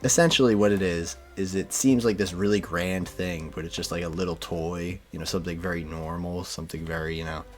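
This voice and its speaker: A man's voice: sits low, steady tone, a little fast, hardly any pauses, faint music behind. A man in a casual podcast chat, explaining things hesitantly with plenty of fillers.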